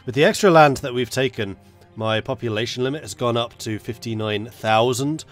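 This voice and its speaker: A man's voice: mid-range voice, moderate pace, faint music behind. A man with a cold, congested as he chats casually with viewers while thinking aloud through a strategy game. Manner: chatty, muttering to himself while planning. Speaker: gaming streamer. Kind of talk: casual live gameplay commentary.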